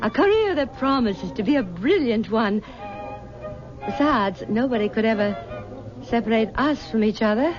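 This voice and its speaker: A woman's voice: fairly high pitch, animated, moderate pace, music in the background, natural voice. An actress playing a middle-aged, possessive society mother who speaks in a genteel, coy way, on a lo-fi 1970s radio drama recording.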